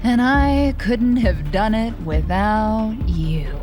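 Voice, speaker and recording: An actress playing a cold, vengeful killer in an audio drama, taunting and bitterly accusing in a deliberate way. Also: female voice, mid-range voice, over music, lightly acted.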